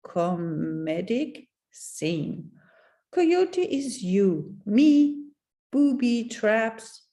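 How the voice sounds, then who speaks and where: A woman reading a text aloud as a narrator, in a theatrical, deliberate storytelling way with dramatic pauses. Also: on the high side, dark tone.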